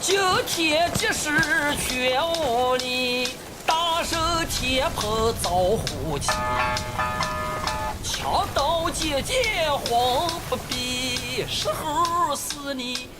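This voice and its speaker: A woman's voice: high-pitched, lively intonation, very slow, pauses often, heavily acted. A woman performing a theatrical, stylised verse chant for a video game, drawing out the words. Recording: voice over music.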